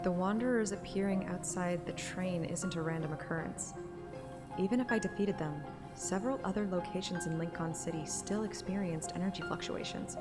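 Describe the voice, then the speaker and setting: Woman streamer, low register, casual and self-mocking, story read-aloud, loud music underneath. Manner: giggly, self-deprecating, swears.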